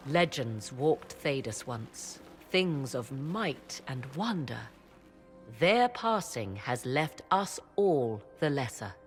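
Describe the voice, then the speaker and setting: Mysterious witch, very low female pitch, proud, formal archaic diction, video game drama, faint music. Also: sing-song, slow pace, exaggerated voice. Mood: solemn conviction.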